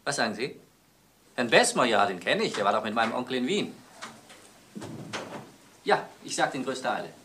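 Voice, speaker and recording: A man playing a puzzled, hurried nephew in a radio crime play, speaking with urgent questions. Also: medium pitch, sing-song, pushed and bright, a little hiss or hum.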